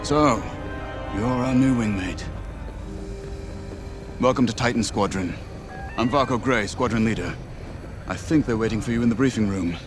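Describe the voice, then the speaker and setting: A man playing a cool, dry Imperial squadron leader in a cinematic video game, speaking in a measured way. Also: medium pitch, over music, natural voice. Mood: cool, wry.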